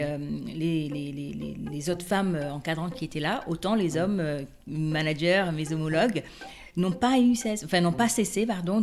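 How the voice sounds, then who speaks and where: Lower-voiced woman, hesitant storyteller over soft music. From Democratic Republic of the Congo. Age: middle-aged. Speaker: interview guest, independent export consultant. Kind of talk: personal testimony, conversational storytelling.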